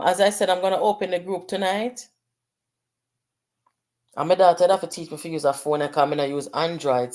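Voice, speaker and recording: A woman's voice: low-pitched, darkish tone. A middle-aged Jamaican woman hosting a casual livestream. She chats with viewers, reads out their comments and slips between patois and English. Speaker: livestream host.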